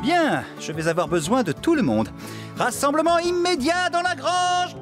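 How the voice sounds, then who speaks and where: An excitable young-hearted farmhand from a children's cartoon, high in pitch and swooping all over. He is good-natured, bossy and exclamatory, with music playing behind him. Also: normal speed. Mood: conspiratorial.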